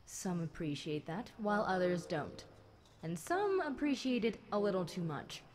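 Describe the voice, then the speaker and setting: A woman's voice: lowish voice, expressive, darkish tone, natural voice. A woman playing a chatty, centuries-old fallen angel demon girl, talking wryly and conversationally to one listener in an audio roleplay. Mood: wry, haughty. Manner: conversational, wry, unhurried, dramatic musing. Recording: clear background noise.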